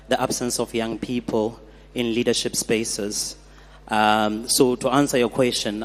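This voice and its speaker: A man from South Africa giving earnest remarks from the floor at a conference, with frequent fillers. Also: medium pitch. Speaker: conference delegate. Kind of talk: audience remarks. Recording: hall reverb.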